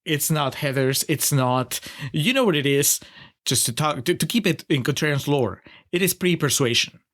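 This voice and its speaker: A man's voice: fairly high pitch, moderate pace, a bit bright. A man with a Peruvian accent co-hosting a casual film review podcast, wry and sarcastic, often breaking into laughter. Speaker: podcast co-host.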